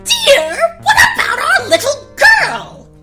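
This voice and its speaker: A woman's voice: very high voice, wildly swooping pitch, takes it slowly, pushed and bright, faint music behind, pitched above the performer's own voice, exaggerated voice. A woman playing a doting but bossy queen mother in a comedic fairy-tale parody, melodramatic and overwrought. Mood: pleading.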